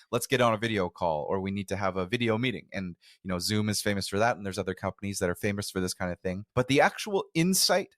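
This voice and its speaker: A man's voice: lowish voice, fairly bright tone, no echo, clean studio sound. A man hosting a podcast, introducing a guest and asking curious, meandering questions. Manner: curious, rambling questions with restarts. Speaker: podcast host.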